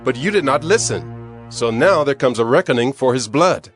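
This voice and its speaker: A man's voice: mid-range voice, expressive, normal speed, natural voice. A man playing a grave older brother who speaks solemnly in a dramatized scripture reading, over faint music.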